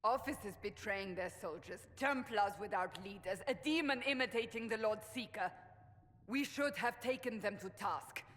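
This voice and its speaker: A woman's voice: mid-range voice, normal speed, fairly bright tone, natural voice. A woman voicing a blunt stern warrior. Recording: distant game audio with long reverb.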